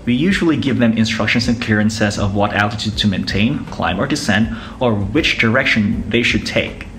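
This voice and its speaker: A man's voice: fairly low pitch, normal speed, a bit bright, slight background noise. A young man with a Filipino accent explaining things to camera as a vlogger in a living room. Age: young adult. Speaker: vlogger, air traffic controller. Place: small living room, indoors. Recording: music playing behind.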